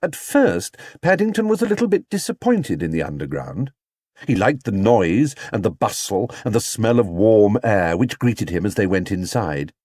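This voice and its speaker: A man's voice: on the low side, darkish tone, breathy edge, no room sound, clean studio sound. A man reading a scripted children's story as an audiobook narrator.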